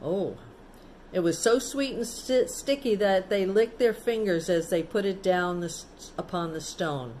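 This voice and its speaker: A woman reads a children's storybook at a slow, unhurried pace, like a teacher with a young class. She breaks off for chatty asides, simple explanations and questions to the listeners. There is faint noise behind her voice. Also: on the low side, darkish tone. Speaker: teacher reading a picture book to children.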